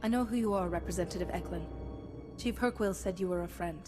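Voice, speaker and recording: An actress playing a resolute warrior-monk heroine in a video game, composed, formal and decisive. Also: female voice, fairly low pitch, fast, music in the background, natural voice. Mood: calm, firm. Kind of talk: scripted game acting.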